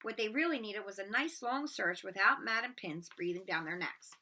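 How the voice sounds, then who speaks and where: A woman narrating a storybook aloud in an expressive read-aloud style. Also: medium pitch, normal speed.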